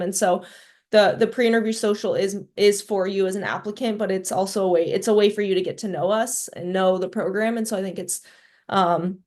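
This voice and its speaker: A woman's voice: medium pitch, darkish tone. A woman representing a residency program, speaking over a video call with conversational, hedging advice. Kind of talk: webinar panel advice.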